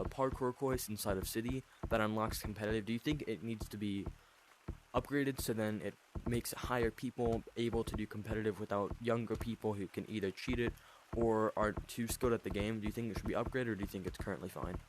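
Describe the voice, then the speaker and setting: Deep-voiced teenage boy interviewer. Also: a little fast, noisy background. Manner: deep voice for his age, rambling questions with many fillers. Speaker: host interviewing players. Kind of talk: casual online interview.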